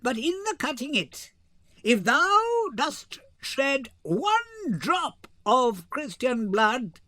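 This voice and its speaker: Stern young woman in judge's disguise, played by a man: slow, emphatic Shakespearean stage delivery, very dry close sound. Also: extremely high pitch, big pitch swings, bright, forceful tone, no echo, exaggerated voice. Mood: stern, deliberate. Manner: measured, declamatory, emphatic. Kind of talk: dramatic Shakespeare reading.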